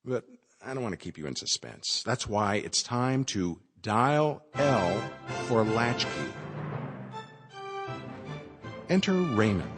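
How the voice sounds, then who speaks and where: Deep, theatrical suspense narrator. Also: male voice. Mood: arch, teasing suspense. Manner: arch, dramatic, emphatic. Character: wry, mock-suspense male host narrator in Hitchcock manner. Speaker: narrator of a radio play.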